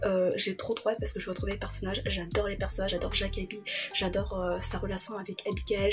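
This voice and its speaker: Young woman chatting about her reading list, informal n rambly, gushy, medium pitch, bit of music behind. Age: young adult. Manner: informal, gushing, rambling asides. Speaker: book vlogger.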